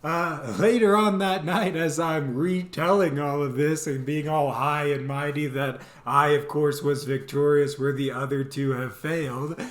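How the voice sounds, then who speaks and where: A man's voice: a little high, unhurried, few pauses. A man telling a casual, comedic personal story as a host, animatedly acting out bits as he goes. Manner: animated, acts out quoted lines and sound effects. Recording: echoey, roomy sound.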